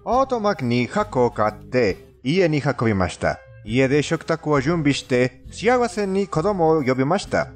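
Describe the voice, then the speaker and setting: Animated man with a foreign accent, narrating. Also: a little high, moderate pace, hardly any pauses, soft background music. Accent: non-native. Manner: formal, storytelling delivery with stilted phrasing. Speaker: narrator of a fandubbed countdown video. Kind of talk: scripted countdown narration.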